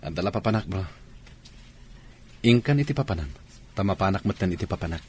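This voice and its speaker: A man's voice: low-pitched, lively intonation, fairly quick, a little mellow, breathy edge. A man with a Filipino Ilocano accent acting as a brotherly soldier in a radio drama. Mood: casual. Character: soldier with a secret lover, brotherly.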